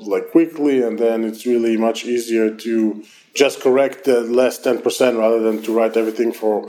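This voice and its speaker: A man with an Israeli accent, a company founder answering interview questions over an online call in a quick, loose way with frequent restarts. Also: mid-range voice, dark tone. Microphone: remote online call.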